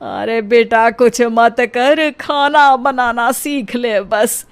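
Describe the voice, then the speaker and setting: A woman voicing a proud, doting middle-aged mother-in-law in a story, chiding in a drawn-out way. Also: fairly high pitch, clean tone. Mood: exasperated.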